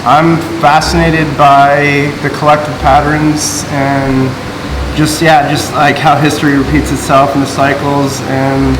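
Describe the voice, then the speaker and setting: A man from the United States introducing himself in a class, hesitant and filling pauses with "um" and "yeah". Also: a little high, flat delivery. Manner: hesitant, many fillers. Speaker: student. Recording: echoey room with loud background hum.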